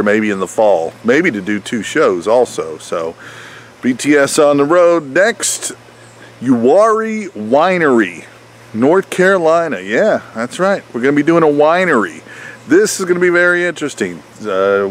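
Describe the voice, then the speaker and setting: A man talking casually and ramblingly as a touring comedian promoting his show in a vlog, with noise behind him. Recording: noisy, engine and road-like rumble.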